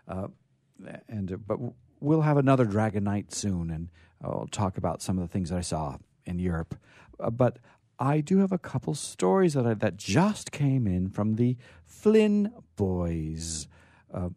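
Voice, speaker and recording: A man with a fairly low voice hosts an informal radio storytelling session. He goes slowly and haltingly, with big theatrical swings in pitch. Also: warm tone, dry recording, clean studio sound. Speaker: radio show host and storyteller.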